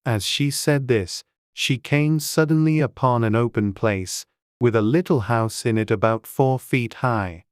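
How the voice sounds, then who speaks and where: Slow, clear male storybook narrator. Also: medium pitch, no room sound, studio quality. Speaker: audiobook narrator.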